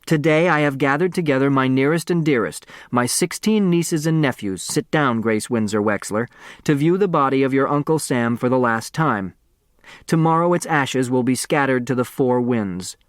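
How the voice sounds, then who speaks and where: A man's voice: mid-range voice, dry recording, clean studio sound, close to an everyday voice. A man voicing a commanding, theatrical patriarch in a solemn, oratorical audiobook reading. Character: dead millionaire's will, commanding, grandiose, theatrical old patriarch.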